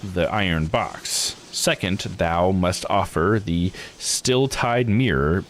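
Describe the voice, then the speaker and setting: A man doing a slow, solemn ancient sage. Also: low voice, slight background noise. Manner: slow, archaic, ceremonious. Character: solemn ancient sage intoning ritual instructions.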